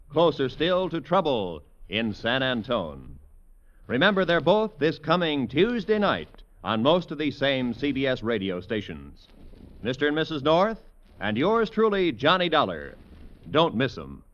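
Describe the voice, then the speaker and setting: A man's voice: medium pitch, very animated, measured pace, fairly bright tone. An American man reading scripted credits and promos as a polished, punchy 1950s radio announcer. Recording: dull vintage radio, faint music.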